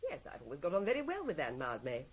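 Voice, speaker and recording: British woman in a radio play, big swooping pitch, arch, shrewd, nosy. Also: wildly swooping pitch, very fast, slightly rough, exaggerated voice. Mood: curious. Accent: British English. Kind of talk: radio drama acting.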